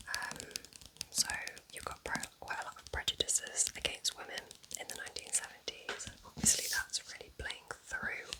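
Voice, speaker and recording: A woman whispering in a breathy, unvoiced voice, chatting gently and casually in short soft phrases as an ASMR presenter. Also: poor audio. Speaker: ASMR creator presenting book recommendations.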